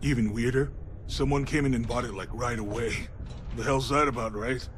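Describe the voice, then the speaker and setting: An actor playing a chatty young space-shop clerk in a video game, with a slangy, laid-back, eager manner. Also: male voice, mid-range voice, normal speed, close to an everyday voice. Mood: amused, incredulous.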